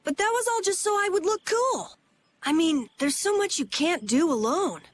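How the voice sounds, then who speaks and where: A woman's voice: extremely high pitch, animated, moderate pace. A woman voicing an earnest, eager young boy in a video game dub, speaking plainly and boyishly. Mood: earnest, reflective.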